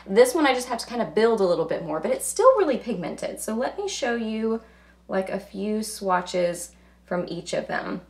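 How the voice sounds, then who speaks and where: Hoarse woman rambling about makeup. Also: mid-range voice, lively intonation, moderate pace. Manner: rambling, heavy on filler words. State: hoarse, losing her voice. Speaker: beauty vlogger. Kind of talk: casual makeup review chat.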